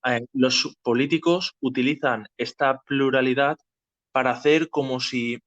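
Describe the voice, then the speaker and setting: A man's voice: mid-range voice, fairly even intonation, a little slow, a bit bright, no room sound. A man with an accent from Spain, hosting an informal political discussion and explaining things conversationally. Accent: Spanish from Spain. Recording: dull, dry lo-fi voice chat.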